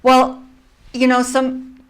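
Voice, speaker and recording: A woman's voice: sits high, monotone, slow, clear, resonant tone. Woman coach explaining her method. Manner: explanatory, structured, enthusiastic. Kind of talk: conversational interview.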